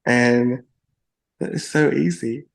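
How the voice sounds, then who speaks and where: A man's voice: medium pitch, very slow, creaky. A man chatting as a podcast guest, upbeat and playful, who slips into grand mock-formal wizard talk and laughs often. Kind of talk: enthusiastic casual chat.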